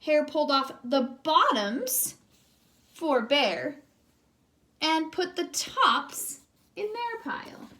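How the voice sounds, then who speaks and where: A woman's voice: high voice, sing-song, slow pace, long pauses, sharp tone. A woman teacher reading a children's storybook aloud expressively, speaking directly to young listeners.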